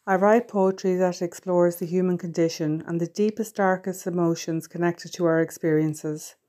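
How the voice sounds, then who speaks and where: A woman, a poet, introducing herself and then reciting her own poem in a slow, deliberate way. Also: on the low side, fairly even intonation, warm tone. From Ireland. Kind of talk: poetry reading.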